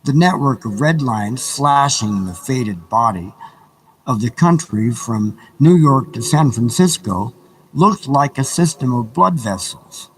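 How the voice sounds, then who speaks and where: A man narrating an audiobook live in a deliberate, measured, dramatic reading style. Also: medium pitch, lively intonation, breathy edge, long reverb tail, rough recording. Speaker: narrator and reader. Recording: live reading with background ambience noise. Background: ambient background sounds.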